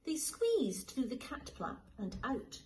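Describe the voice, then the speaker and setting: A woman teacher reading a children's picture book aloud in a slow, lilting, expressive way. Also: sits high, a little hiss or hum. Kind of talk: storybook read-aloud for children.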